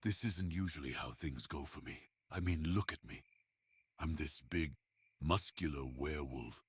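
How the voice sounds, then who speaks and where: A big, muscular werewolf with a very deep, husky voice, played by a man. He is gentle and bashful, speaking with earnest tenderness in a romantic ASMR roleplay. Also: moderate pace, dry recording. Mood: shy, intimate.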